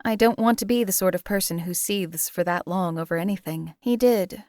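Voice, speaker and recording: A woman voicing a numb, detached female hired killer in a game, who talks in a measured, dry way. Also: fairly low pitch, a little mellow, no room sound, close to an everyday voice. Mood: bitter, restrained. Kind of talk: video game companion voice lines.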